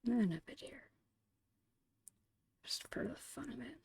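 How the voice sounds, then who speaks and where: A woman's voice: a little high, animated, measured pace, a little mellow. A woman whispering in an ASMR style, casually thinking aloud in short phrases as she narrates her own digital painting. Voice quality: breathy whisper.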